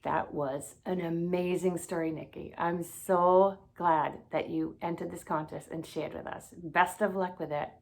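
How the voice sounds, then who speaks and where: A woman with a fairly low voice reads a story aloud. Her delivery is expressive, in the manner of a storybook read-aloud for children. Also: a little slow, gravelly, few pauses. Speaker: guest story reader.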